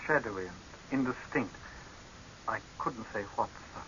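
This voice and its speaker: Actor as an earnest, forceful young scientist, vintage radio. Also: male voice, mid-range voice, moderate pace, close to an everyday voice. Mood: guarded, formal. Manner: formal, deferential, restrained. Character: earnest young male scientist, formal, guarded subordinate. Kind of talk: 1930s radio horror drama acting. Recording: hissy, muffled old radio recording.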